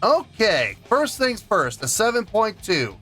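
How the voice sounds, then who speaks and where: A man's voice: sits high, wildly swooping pitch, takes it slowly, pushed and bright. A man hosting a music reaction channel, giving a casual song review and often repeating himself for emphasis. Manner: repeats and restarts phrases for emphasis.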